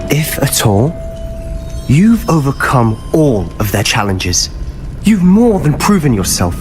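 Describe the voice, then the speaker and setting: A man's voice: mid-range voice, very animated, slow pace. An actor playing an intense, desperate young man in a video game, pleading earnestly and insistently. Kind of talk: dramatic video game acting. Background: game music.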